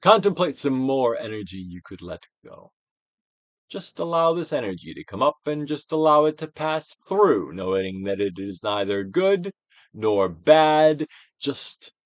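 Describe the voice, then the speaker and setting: Male actor, dramatic self-help audiobook reading, widely swinging intonation, hushed to booming emphasis, dry close sound. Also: no echo. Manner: theatrical, emphatic, swinging from hushed near-whispers to loud projection.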